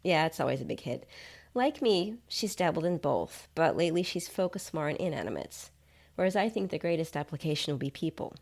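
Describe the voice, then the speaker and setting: Woman playing male app developer, nonchalant, chatty, explanatory, low creaky voice, short-story reading. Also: animated, a little fast, darkish tone, exaggerated voice. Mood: casual, chatty.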